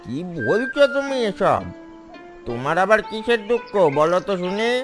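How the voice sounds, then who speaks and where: A man's voice: high voice, big pitch swings, moderate pace, music in the background. A man voicing a sly, greedy cartoon fox with a wheedling, falsely sympathetic manner. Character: sly, greedy male fox coaxing his prey.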